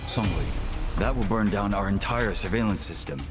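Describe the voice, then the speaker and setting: A man's voice: lowish voice, normal speed, over music, close to an everyday voice. An actor playing a loyal, composed but anguished male lieutenant in a video game dub, formal and restrained. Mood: anguished protest.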